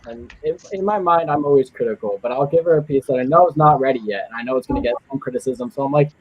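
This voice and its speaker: Young man, husky voice, lo-fi sound. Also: mid-range voice, quick pace, dry recording. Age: young adult. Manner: self-deprecating, laughs often. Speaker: guest writer, college student. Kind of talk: casual chat.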